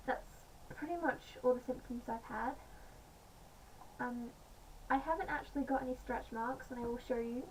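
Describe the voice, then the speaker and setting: A woman with a slightly croaky voice, chatting casually in a home vlog with many pauses and fillers. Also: high-pitched, darkish tone. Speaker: vlogger. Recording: distant mic, faint background noise.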